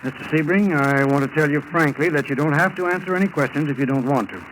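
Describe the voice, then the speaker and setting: An actor playing a curt, formal FBI interrogator in 1940s radio style, on a noisy old recording. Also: male voice, on the high side, fast, fairly bright tone, close to an everyday voice. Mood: stern, pressing.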